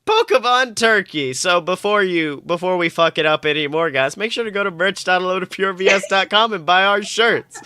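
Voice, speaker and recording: A woman's voice: on the low side, moderate pace, few pauses, pushed and bright, smooth, no echo, clean studio sound. A woman co-hosting a comedy podcast in casual, joking chat peppered with swearing. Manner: profane, joking. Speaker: podcast co-host.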